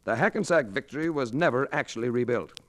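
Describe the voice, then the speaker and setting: An actor playing a wry, hard-boiled private investigator in a 1950s radio detective drama, with a dry manner. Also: male voice, medium pitch, animated, fast talker, fairly bright tone, natural voice. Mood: shrewd, pressing. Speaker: lead and first-person narrator.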